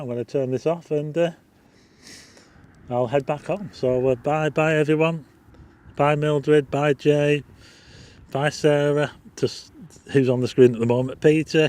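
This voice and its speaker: A Northern English man hosting a live stargazing stream outdoors at night, chatting casually, reading out viewer names for a quiz, his voice a little croaky. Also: medium pitch, darkish tone, dry recording. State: slightly croaky. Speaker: astronomy livestream host.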